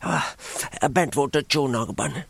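A man playing a naive, proud little bear, slow-witted and halting, in an audio drama. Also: mid-range voice, animated, creaky, no echo. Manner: naive, halting, growly mumble.

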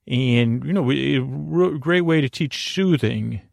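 A man with a lot of fry in his voice hosts a sleep podcast. He rambles haltingly, circling back on words and wandering into whimsical tangents. The sound is very dry, with no room around it. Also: mid-range voice, a little mellow, dry recording. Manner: halting, meandering, repetitive, drifting into whimsical asides. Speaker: podcast host. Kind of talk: rambling bedtime podcast monologue.

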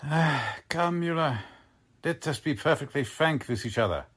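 Radio drama role: imperious spymaster, husky edge, highly animated pitch. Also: male voice. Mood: coolly insistent. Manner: imperious, theatrical, deliberate. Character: haughty, scheming German military officer and Nazi spymaster. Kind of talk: 1940s radio drama acting.

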